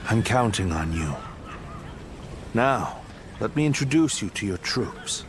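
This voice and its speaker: Low-voiced, earnest army commander. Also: male voice, very animated, noisy background. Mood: earnest, trusting. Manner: measured, sincere. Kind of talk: English video game dub acting. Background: music.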